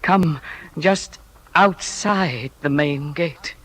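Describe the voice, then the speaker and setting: An actor in a 1950s radio play playing a nervous, evasive committee aide, hesitant yet urgent. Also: male voice, on the high side, very slow, fairly bright tone, full character voice, low-quality recording. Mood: anxious, urgent.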